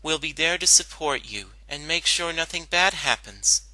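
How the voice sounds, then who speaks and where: An earnest, reassuring pony mare from a storybook reading, put on by a man who lifts the pitch. The voice is raised and very bright, confident and caring, in a dry recording. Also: expressive, normal speed, no echo, poor audio.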